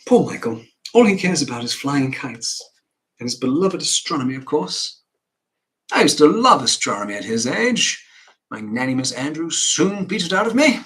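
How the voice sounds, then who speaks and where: A man's voice: a little high, very animated, moderate pace, fairly bright tone, low-quality recording. A man rehearsing stage dialogue as a stern, dismissive upper-class father, pompous and brusque.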